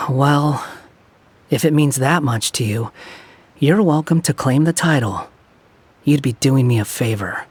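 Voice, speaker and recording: Aloof, husky, sardonic young man. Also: mid-range voice, slow, pauses often. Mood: condescending. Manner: dismissive, dry, sarcastic, sighing. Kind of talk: video game character acting.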